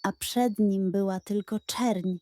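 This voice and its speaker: Slow, soft-toned woman telling bedtime stories. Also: medium pitch, steady tone, no room sound. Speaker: storyteller. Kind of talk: scripted bedtime story narration for children.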